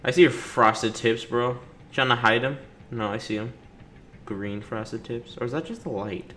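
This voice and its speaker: A man's voice: medium pitch, normal speed, bright, forceful tone, slight background noise. A young man giving casual, impatient, sarcastic commentary on a video game, sometimes reading its dialogue aloud. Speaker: gaming streamer. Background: video game music.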